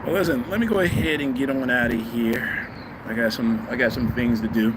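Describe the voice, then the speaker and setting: A man's voice: mid-range voice, brisk. A man in his fifties hosting a livestream from a city balcony, giving blunt, preachy opinions straight to his viewers. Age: 50s. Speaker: livestream host. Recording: loud outdoor street noise. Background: city traffic and outdoor noise.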